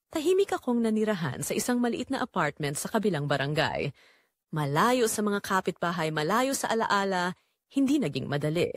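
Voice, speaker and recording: A woman with a Filipino accent playing a betrayed, resolute daughter, narrating her story in a solemn, deliberate, emotional way. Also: medium pitch, no echo, studio quality. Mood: sorrowful, resigned. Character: Clarice, betrayed daughter and wife, wounded, resolute. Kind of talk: first-person dramatic scripted narration.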